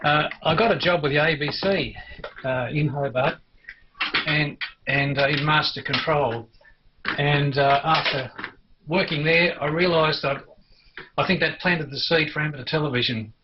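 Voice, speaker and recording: An older Australian man reminiscing without a script in a halting, storytelling way, as an amateur television hobbyist. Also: on the high side, lo-fi sound, noisy background. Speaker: amateur television enthusiast recounting the past. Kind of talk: unscripted personal reminiscence.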